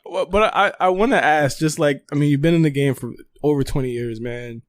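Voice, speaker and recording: A man hosting a casual podcast, telling stories and asking questions in an animated, slangy way with free swearing. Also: fairly high pitch, brisk, no room sound. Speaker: podcast host interviewing a guest. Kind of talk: casual storytelling and interview chat.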